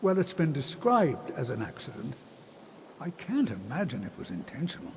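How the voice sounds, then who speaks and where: A man playing a kindly, measured old wizard scholar, gentle and slightly hesitant, in a fantasy video game. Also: mid-range voice, wildly swooping pitch. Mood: grave. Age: older. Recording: long hall reverb, noisy ambience.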